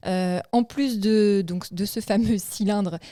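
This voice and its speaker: A woman hosting a casual chat, calmly laying out topics and quizzing her co-hosts. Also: medium pitch, slow pace, dry recording, studio quality. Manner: steady, lays out topics and quizzes the others. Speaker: host.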